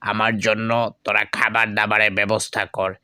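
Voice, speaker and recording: A man's voice: fairly low pitch, completely flat delivery, brisk, pushed and bright, clean tone, no echo, clean studio sound. A man playing a commanding wandering holy man in a Bangla cartoon, with imperious pronouncements.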